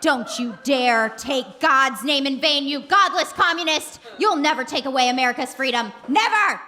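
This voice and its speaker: A woman acting a high, perky patriotic housewife heroine. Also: lively intonation, moderate pace, sharp tone. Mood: defiant. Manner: exaggerated, perky and theatrical. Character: gung-ho, chirpy patriotic housewife turned action heroine. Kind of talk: comedic live radio play acting. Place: small comedy theater, performed live. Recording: live theater stage, some background noise.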